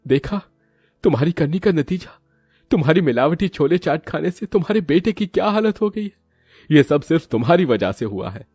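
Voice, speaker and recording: A man's voice: a little high, lively intonation, normal speed, a little mellow, husky, dry recording, studio quality, faint music behind, voice pushed up. A man voicing an upright, worried husband and father who scolds earnestly in a cartoon story. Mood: angry blame. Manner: earnest, reproachful.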